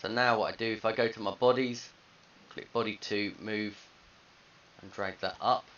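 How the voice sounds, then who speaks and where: Man, forceful bright tone, instructional, thinking aloud step by step, dull headset recording. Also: on the low side, fairly even intonation, moderate pace. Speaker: design teacher. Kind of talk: screencast software tutorial, informal step-by-step narration. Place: indoors at a computer. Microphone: headset microphone. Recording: dull lo-fi headset audio, faint hiss.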